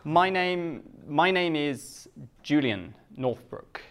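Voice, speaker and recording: A British man from the UK gives a live talk to a Japanese audience in simple, slow English, joking at his own expense. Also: on the high side, expressive, fairly bright tone, smooth. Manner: simple slow English with self-mocking jokes. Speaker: guest speaker.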